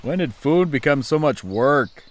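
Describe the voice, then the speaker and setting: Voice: medium pitch, expressive, a little slow, over loud music, lightly acted. An actor voicing a laid-back, grumbling male forest animal with a dry, weary manner, in a children's audio drama.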